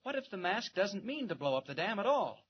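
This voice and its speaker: A muffled, noisy old radio recording carries a brave hero of a 1940s serial. His voice is notably high, with brisk, earnest, decisive delivery. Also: very animated. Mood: thoughtful, resolute. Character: brave, quick-thinking man, reporter who is secretly a superhero.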